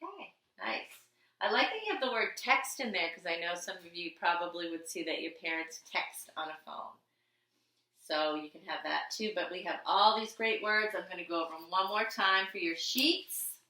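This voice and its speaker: A woman's voice: fairly low pitch, expressive, normal speed, pushed and bright, distant mic. A woman teacher giving a patient handwriting lesson to young children, in a sing-song way with simple repeated phrases and questions. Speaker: primary school teacher. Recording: echoey room, voice away from the mic.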